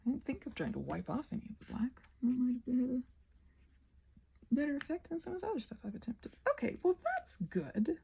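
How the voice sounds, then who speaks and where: A woman rambling casually in an ASMR style while crafting, musing aloud and gently reassuring, her soft breathy voice often slipping into a whisper. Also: high voice, big pitch swings, brisk.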